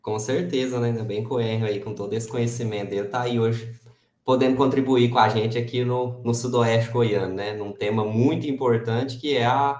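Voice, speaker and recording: A man's voice: medium pitch, steady tone, normal speed, a little mellow, reverberant. A man, an agronomy researcher, speaking as a guest in a casual interview, agreeable and with hesitant restarts.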